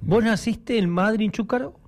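Man, Argentine accent, fairly high pitch, radio co-host, casual sports chat. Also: moderate pace.

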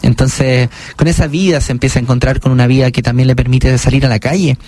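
Man, Chilean accent, author guest, middling pitch, thoughtful, frequent restarts and fillers. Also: fairly even intonation, fast talker, darkish tone, no echo. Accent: Chilean Spanish. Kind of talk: radio interview conversation.

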